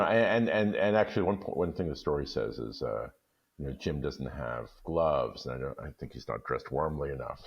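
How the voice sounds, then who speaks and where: A man, a guest reader on a radio discussion show, who talks haltingly with many restarts. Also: extremely low pitch, husky, muffled recording.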